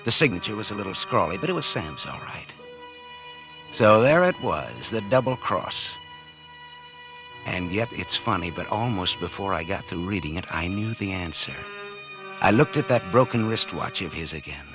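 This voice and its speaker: Deep-voiced scheming man, vintage radio noir. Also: animated, moderate pace. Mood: cool, calculating. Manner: wry, calculating, dramatic. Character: scheming cold-blooded businessman narrating his crime, feigning innocence. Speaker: actor narrating and playing the lead. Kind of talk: 1940s radio noir acting. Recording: vintage 1940s radio recording with music.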